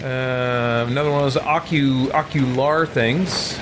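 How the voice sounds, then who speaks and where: A man's voice: mid-range voice, fairly even intonation, unhurried, background noise. A man giving casual gameplay commentary, thinking aloud as he plays a roleplaying video game. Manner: thinks aloud while playing.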